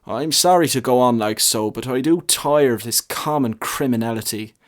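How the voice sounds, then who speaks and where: A man voicing a world-weary, stern parish priest in a story, with a measured, solemn, admonishing manner. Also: mid-range voice, natural voice. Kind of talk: short story character reading.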